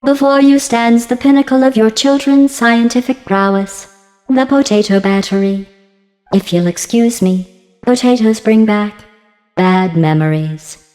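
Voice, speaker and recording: A woman voicing a passive-aggressive female artificial intelligence in a video game, deadpan and mock-sweet, with synthetic robotic processing. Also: fairly high pitch, a little slow, long reverb tail, soft background music. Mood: dry sarcasm. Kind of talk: scripted video game dialogue.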